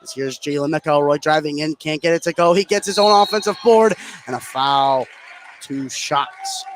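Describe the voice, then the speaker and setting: A man's voice: fairly high pitch, moderate pace, a little hiss or hum. A man doing live play-by-play commentary of a basketball game on the radio.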